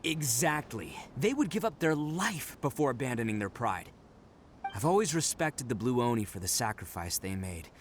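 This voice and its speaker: A man's voice: medium pitch, a little hiss or hum, close to an everyday voice. A man playing a brash, proud Oni brawler. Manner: boastful, hearty laughs, earnest when serious. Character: brash young male Oni gang leader, proud and boisterous. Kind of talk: game cutscene voice acting.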